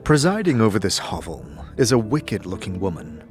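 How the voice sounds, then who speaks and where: A man's voice: lowish voice, very animated, fairly quick, faint music behind. A man narrating a scripted fantasy audio drama in the second person, with dramatic, descriptive storytelling. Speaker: narrator and game master.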